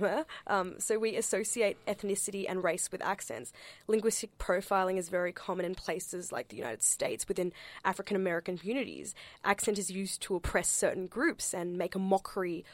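A woman's voice: fairly low pitch, no echo. A young woman with an Australian accent whose first language is not English, speaking in a discussion with many fillers and restarts. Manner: hesitant, full of fillers and restarts. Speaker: panel speaker.

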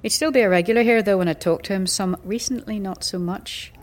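A woman's voice: mid-range voice, a little fast, lightly acted. A woman playing a sardonic older seer and teacher in a fantasy audio drama, dry, wry and gossipy. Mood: wry, grave. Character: sardonic older female undead-philosophy tavern seer and teacher.

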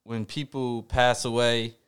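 A young man co-hosting a podcast, talking in a reflective, halting way with many fillers and restarts. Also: medium pitch, flat delivery, fairly bright tone, clean tone. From Puerto Rican family background. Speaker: podcast co-host. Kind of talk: casual podcast chat.

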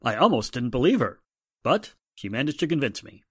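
A man's voice: mid-range voice, big pitch swings, fairly quick, slightly rough, dry recording, clean studio sound. A man playing an earnest colleague of a newspaperwoman in a 1940s-style radio drama. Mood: relieved, admiring.

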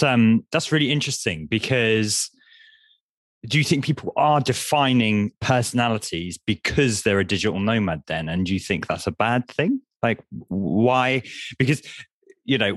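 A man's voice: on the low side, fairly bright tone, no echo. A man hosting a tech podcast, giving an upbeat intro and asking casual follow-up questions. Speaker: podcast host. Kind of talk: podcast interview.

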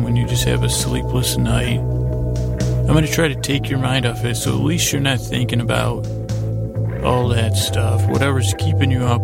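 American man, podcast host, heavy vocal fry, mid-pitched, halting, rambling, lulling bedtime delivery. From United States. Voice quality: creaky, gravelly. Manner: meandering, halting, stammering, self-interrupting. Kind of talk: rambling bedtime monologue for sleep.

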